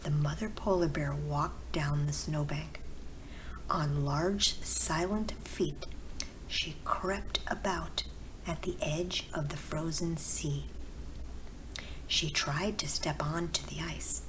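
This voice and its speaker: A woman narrates a bedtime story in a low, soft, breathy voice. The reading is gentle and very unhurried. Clear background noise sits under the recording. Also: steady tone. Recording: clearly noisy background with ambience.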